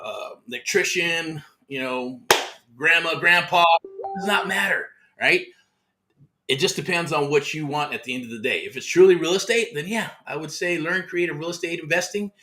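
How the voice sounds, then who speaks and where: A man's voice: on the high side, bright, forceful tone, slight background noise. A man hosting a motivational livestream about real estate, upbeat and repetitive, full of pep-talk slang, talking outdoors. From Southern California. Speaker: real estate mentor host. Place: outdoors in the heat.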